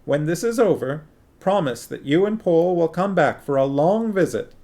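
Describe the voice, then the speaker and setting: A man's voice: fairly high pitch, animated, normal speed, dark tone, voice pushed up. A man voicing a plump little queen who frets and pleads in a worried, sniffly way. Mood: fretful.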